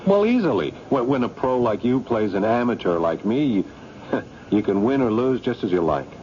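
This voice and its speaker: A man's voice: mid-range voice, big pitch swings, moderate pace, darkish tone, natural voice. An actor playing a resentful, suspicious man cornered in a scheme, earnest and wry, in a 1970s radio drama. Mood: wry, defiant. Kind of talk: 1970s radio drama acting and first-person narration. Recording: dull, noisy vintage radio broadcast.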